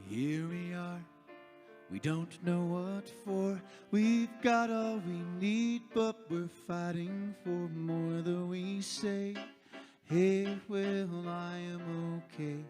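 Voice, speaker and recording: A woman's voice: on the low side, drawn-out words, dark tone. A woman singing a song to guitar accompaniment. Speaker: singer. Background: guitar music.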